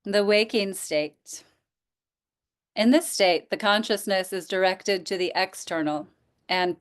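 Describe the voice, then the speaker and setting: A woman teaching yoga nidra, guiding relaxation in a gentle, inviting manner with pauses. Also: fairly low pitch, smooth. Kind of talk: guided relaxation instruction.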